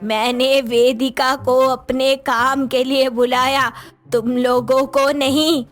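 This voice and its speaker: A woman's voice: high voice, level tone, takes it slowly, faint music behind. A woman voicing a commanding, scolding elder grandmother-in-law in a moral story. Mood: stern, annoyed. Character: bossy elder grandmother-in-law, head of the household. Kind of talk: Hindi animated moral story voice acting.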